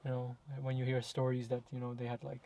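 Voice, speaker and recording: A man's voice: mid-range voice, completely flat delivery, fast talker, dark tone, slight background noise. A young man talking casually and reflectively in a podcast chat, with many fillers and self-corrections. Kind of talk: casual conversation.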